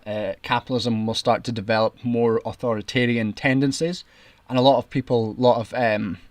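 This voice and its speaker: A young Scottish man streaming commentary, ranting in an indignant, sarcastic, argumentative way, his voice getting raspy. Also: medium pitch, fairly even intonation, brisk, no room sound.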